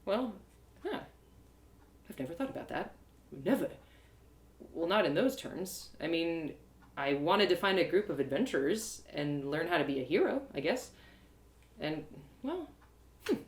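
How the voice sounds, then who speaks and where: A woman's voice: fairly low pitch, sing-song, measured pace, long pauses. A woman playing a plucky but self-doubting young adventurer girl in a fantasy audio drama, musing hesitantly. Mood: hesitant, wistful. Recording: roomy home live reading.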